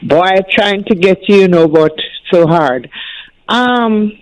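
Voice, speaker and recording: A woman with a Jamaican accent calling a talk show, speaking hesitantly with many pauses and ums. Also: lowish voice, animated, a little mellow. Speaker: caller. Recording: dry, muffled phone line.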